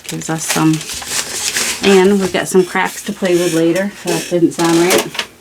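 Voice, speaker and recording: A woman's voice: lowish voice, steady tone, unhurried, creaky, noisy background. A woman hosting a craft video, chatting casually and rambling to herself with playful self-scolding while she works, over clicks and crinkling paper.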